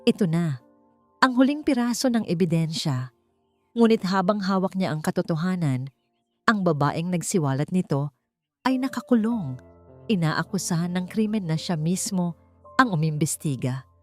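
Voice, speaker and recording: A woman narrating a scripted dramatic story with deliberate phrasing, as an audiobook narrator. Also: on the low side, expressive. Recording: music bed behind a dry voice. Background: background music.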